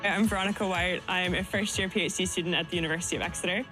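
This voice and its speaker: A woman's voice: lowish voice, steady tone, very fast, over music. A young woman guest in a radio interview, chatty and upbeat, laughing easily as she talks. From born in the US, raised in the Netherlands. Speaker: guest, PhD student.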